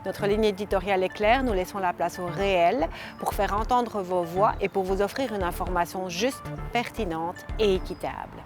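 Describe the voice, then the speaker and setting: A woman presenting scripted community news in a clear, measured voice. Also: mid-range voice, music in the background.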